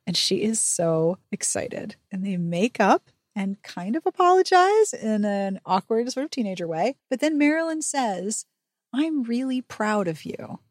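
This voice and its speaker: A woman hosting a podcast, giving a chatty book recap with animated, sarcastic and sweary commentary. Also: mid-range voice, unhurried, no room sound. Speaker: podcast host.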